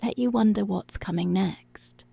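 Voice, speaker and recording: Policewoman, played by a woman: commanding, teasing, seductive ASMR roleplay. Whispery with creaky edges, deliberate and unhurried. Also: medium pitch, mellow, heavily acted. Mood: teasing, controlling. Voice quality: breathy, whispery. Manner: slow, deliberate, controlling, drawn-out phrases.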